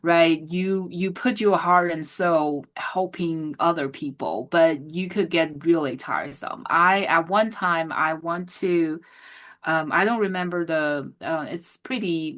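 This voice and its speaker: A woman's voice: sits low, a little slow. A woman hosting a casual interview on a video call, talking in restarts and tag questions. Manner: warm praise, many restarts and tag questions. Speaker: host.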